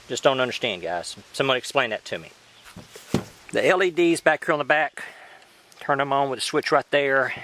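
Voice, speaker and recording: A man's voice: medium pitch, a bit bright. A man giving a casual product review in a plain-spoken, emphatic way, repeating phrases for stress.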